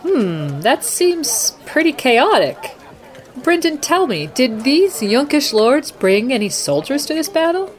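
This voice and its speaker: A woman's voice: high voice, very animated, slow, a faint crowd, exaggerated voice. A woman playing a composed news anchor at war, putting formal questions to correspondents in a radio play. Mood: businesslike. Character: composed female news anchor covering a fantasy battle. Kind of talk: radio news broadcast roleplay.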